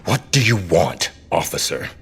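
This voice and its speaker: A man playing a brooding, menacing boss, curt and deliberate, in an audio drama. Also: low voice, sing-song, vocal fry, a bit bright, breathy edge, exaggerated voice. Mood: cold, curt.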